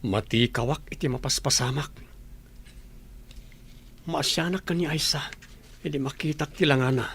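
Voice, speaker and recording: A man playing a troubled young man in an Ilocano radio drama, animated and emotional, with an Ilocano accent. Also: mid-range voice, moderate pace, long pauses, husky. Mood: troubled, regretful.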